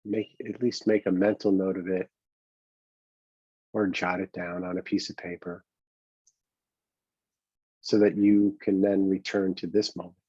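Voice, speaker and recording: A man with a very low, husky voice guides a meditation in a gentle, improvised way. He takes his time, halting and leaving long pauses between phrases. The recording sounds dull and lo-fi. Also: level tone. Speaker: meditation coach leading a guided exercise. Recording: dull, boxy, roomy lo-fi sound.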